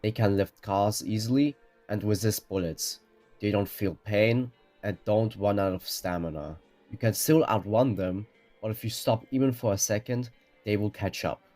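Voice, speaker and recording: A man's voice: fairly low pitch, moderate pace, mellow. A man reading scripted explainer narration in a matter-of-fact, orderly way. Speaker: narrator of a ranking video. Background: background music.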